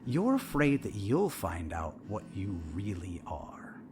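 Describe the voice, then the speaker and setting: An actor playing a controlled, menacing male therapist in an audio drama, with measured, pointed questioning. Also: fairly low pitch, wildly swooping pitch, background noise, exaggerated voice. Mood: probing.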